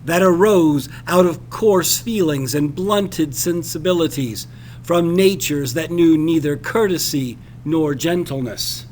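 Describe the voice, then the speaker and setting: High-voiced man reading a story dramatically. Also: takes it slowly, a little mellow, a little hiss or hum. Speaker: reader of a literary passage. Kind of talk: expressive scripted audiobook-style narration.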